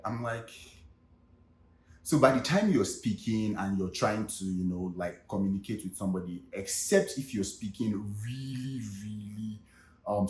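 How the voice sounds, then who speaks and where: A man's voice: fairly low pitch, darkish tone. Chatty young Nigerian man, echoey room. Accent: Nigerian Yoruba. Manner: chatty, with many fillers like "you know" and "you get". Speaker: vlog host. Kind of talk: casual comedic monologue.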